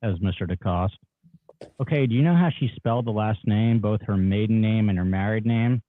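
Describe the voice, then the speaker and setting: A man's voice: on the low side, normal speed, no echo, natural voice. A man reading the part of a terse attorney instructing a witness during a deposition. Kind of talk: dramatic reading of legal objections. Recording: dull, phone-like narrow band.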